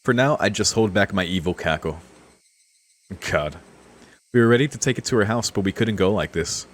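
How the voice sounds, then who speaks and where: A man's voice: fairly low pitch, lively intonation, moderate pace. A man streaming a game, reading text aloud and adding casual, laid-back commentary. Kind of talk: casual let's play commentary and read-aloud.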